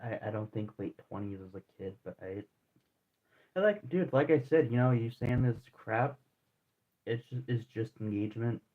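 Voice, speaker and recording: A man's voice: fairly low pitch, moderate pace. A man in his twenties chatting casually to viewers while streaming a game, rambling and laid-back with a lot of slang and restarts. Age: 20s. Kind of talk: casual live-stream chat while playing. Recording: tinny phone-like audio.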